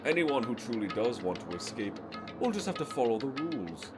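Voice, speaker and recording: A man's voice: mid-range voice, big pitch swings, fairly quick, lightly acted. A man playing a cold, calculating, arrogant teenage schoolboy in an anime fandub, cool and condescending. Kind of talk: anime game fandub acting. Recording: loud music over the voice.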